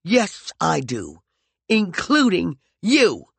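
A male narrator voicing a proud winged woman who answers back with sharp indignation. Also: a little high, lively intonation, moderate pace, vocal fry, no room sound, voice pushed up. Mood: indignant.

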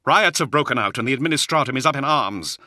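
Guy doing a grim angry knight for an audiobook, raised pitch, really sharp and forceful. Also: lively intonation, quick pace, no room sound, studio quality. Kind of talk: audiobook character acting.

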